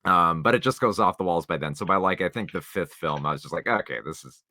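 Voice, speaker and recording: A man co-hosting a podcast, discussing films casually in a rambling, halting way with the odd swear word. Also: low-pitched, pushed and bright, no echo, clean studio sound. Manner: rambling, halting, informal with profanity. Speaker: podcast co-host.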